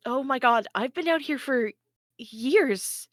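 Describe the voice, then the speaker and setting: A woman's voice: high voice, lively intonation, slow pace, dry recording, studio quality. A woman playing a bewildered, anxious space probe in a podcast table read, hesitant and stammering. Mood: panicked. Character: bewildered newly awakened space probe, anxious, childlike, pleading.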